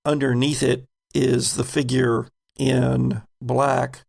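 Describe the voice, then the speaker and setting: A man with a gravelly voice narrating an improvised tabletop game as the game master. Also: medium pitch, little pitch movement, slow pace, a little mellow.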